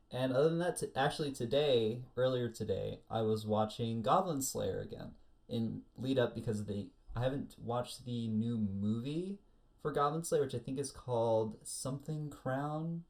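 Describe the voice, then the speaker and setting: A man's voice: medium pitch, a little mellow. A man co-hosting a podcast in casual, teasing chat. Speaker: podcast co-host.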